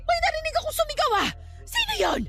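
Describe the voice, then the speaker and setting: A woman's voice: very high-pitched, wildly swooping pitch, fast, creaky, heavily acted. A woman with a Filipino accent acting a startled, worried middle-aged aunt in a radio drama. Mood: alarmed. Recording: music bed behind the voice.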